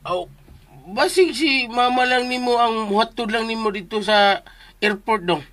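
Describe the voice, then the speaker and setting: An actor playing a cheeky, excitable driver sidekick in a comic radio drama, fussily repeating his words. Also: very high-pitched, dry recording. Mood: teasing, pleading. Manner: repeats words rapidly in fussy exclamations.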